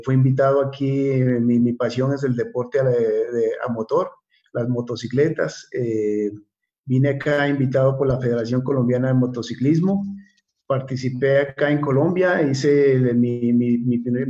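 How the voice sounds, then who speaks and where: An Ecuadorian man in his fifties speaks at a middle pitch over a slightly dull video-call line. He talks haltingly, stumbling over and repeating words, with plenty of fillers between thoughts. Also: fairly even intonation, dark tone. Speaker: guest.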